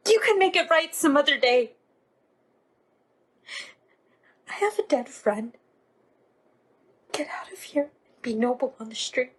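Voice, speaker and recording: An actress as a grieving, righteous woman with a high voice. She delivers a stern, accusing stage monologue, bitter and unforgiving. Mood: bitter grief.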